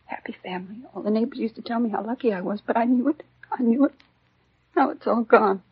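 Raspy american widow lady, shaken but polite, quick clipped sentences, dull old recording. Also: fairly high pitch. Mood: grieving. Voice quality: husky. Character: newly widowed housewife, shaken, devoted, politely composed. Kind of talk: 1950s radio drama acting. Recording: dull 1950s radio recording.

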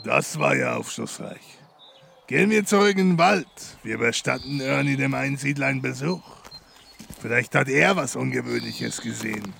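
A man playing a gruff, slow-witted police commissioner with a gravelly, husky voice and deadpan grumbling in a comic audio drama. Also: mid-range voice, a little hiss or hum, heavily acted. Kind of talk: comedic audio drama acting.

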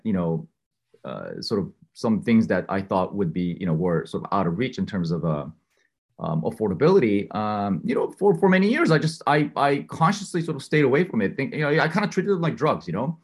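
A man's voice: on the low side, lively intonation, brisk, slightly rough. A man in his thirties, a watch collector guest, talking casually over a remote call with earbuds, full of fillers and restarts. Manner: rambling, many fillers and restarts. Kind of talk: casual conversational interview.

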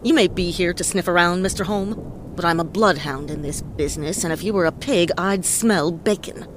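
An actress playing a tough, wisecracking female private detective in a noir audio play, speaking in a deadpan, sardonic way. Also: lowish voice, moderate pace, slight background noise, natural voice. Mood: cutting. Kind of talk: hard-boiled noir radio play acting. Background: prominent music.

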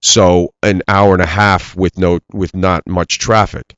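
A man's voice: low-pitched, level tone, measured pace, a bit bright, no echo, lo-fi sound. A middle-aged man from Iran, raised in Pennsylvania, talking earnestly in an interview with many restarts. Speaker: actor guest. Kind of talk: interview conversation.

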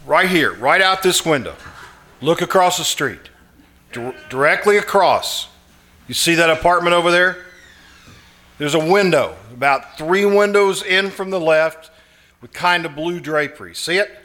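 A man's voice: a little high, lively intonation, measured pace, bright, forceful tone. A man playing an earnest, anxious, nosy neighbour, hesitant but insistent, in a live old-time radio suspense play. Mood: urgent. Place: live performance at a winery.

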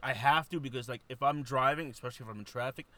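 Bright-voiced swearing male podcast host. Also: medium pitch, fast. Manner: rambling, swears, laughs easily. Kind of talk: casual podcast banter.

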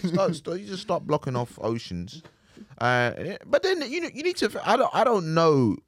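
A man's voice: fairly high pitch, huge pitch swings, moderate pace, no echo, studio quality. A man co-hosting a podcast in casual chat, rambling laid-back and tagging his lines with "man". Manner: laid-back rambling, tags lines with "man" and "do you know what I mean". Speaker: podcast co-host.

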